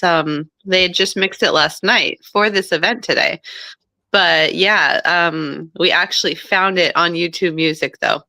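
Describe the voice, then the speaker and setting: A woman co-hosting a live stream in a casual chat, loud and talkative and quick to laugh. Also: on the low side, dry recording. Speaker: co-host. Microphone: streaming microphone.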